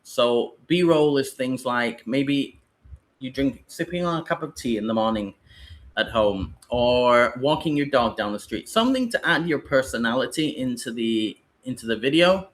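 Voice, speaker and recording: A man's voice: medium pitch, normal speed. A British man leading a live online training session for teachers, explaining in a loose, rambling way.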